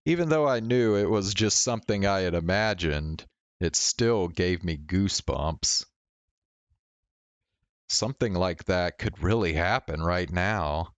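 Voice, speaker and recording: A man's voice: sits low, unhurried, no room sound, lo-fi sound. A man doing casual game commentary and reading visual novel text aloud. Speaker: game streamer. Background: game music.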